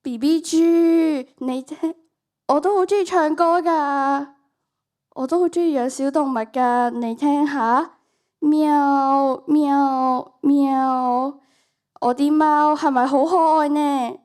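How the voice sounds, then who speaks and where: A woman's voice: sits high, fairly even intonation, takes it slowly, a little mellow. A teenage girl with a Hong Kong Cantonese accent playing an insecure, lovesick, eager-to-please young woman in a student radio drama. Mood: shy, eager.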